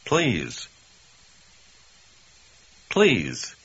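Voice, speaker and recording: A man speaking model phrases in a language course, slow and carefully enunciated for repetition. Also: on the low side, wildly swooping pitch, fairly bright tone, husky. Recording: dull lo-fi course audio.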